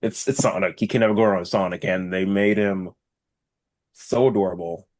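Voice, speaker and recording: A man with a somewhat low voice chats casually as a podcast co-host. His delivery is halting, and he often circles back to repeat a phrase. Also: fairly even intonation, a little mellow.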